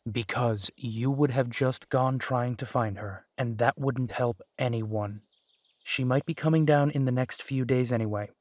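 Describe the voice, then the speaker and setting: A man playing a gentle, dry-humored werewolf private eye and younger brother in an immersive roleplay audio drama, speaking softly and wryly. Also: medium pitch, a little slow, no room sound, close to an everyday voice.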